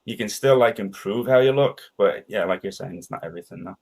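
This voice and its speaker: A man's voice: on the low side, fairly quick, vocal fry, a little mellow, slightly rough. A man whose first language is Danish, a guest talking casually and rambling with fillers like "right?". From Ireland and Denmark. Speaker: podcast guest, sales expert. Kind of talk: casual conversation.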